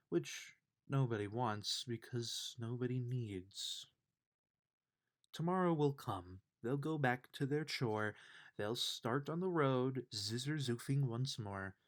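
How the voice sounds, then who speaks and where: A man reading a children's bedtime story aloud in an expressive, unhurried way. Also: medium pitch. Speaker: storybook reader.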